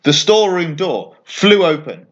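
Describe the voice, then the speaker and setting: A man reading a children's bedtime story aloud as an expressive narrator. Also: fairly high pitch, unhurried, muffled recording.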